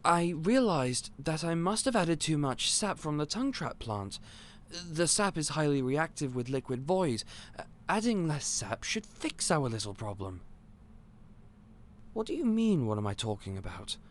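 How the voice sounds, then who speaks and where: A man playing an eccentric, otherworldly candy store owner in an audio roleplay, polite and gentle but a little scatterbrained. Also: on the high side, big pitch swings, measured pace. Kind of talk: scripted audio roleplay to the listener.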